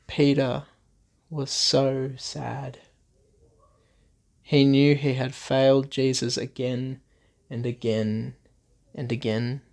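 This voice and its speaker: Male storyteller, mid pitch, unhurried delivery, crackly fry, expressive reading for young children. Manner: simple phrases, repetition and questions to young listeners. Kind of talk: expressive read-aloud Bible story for children.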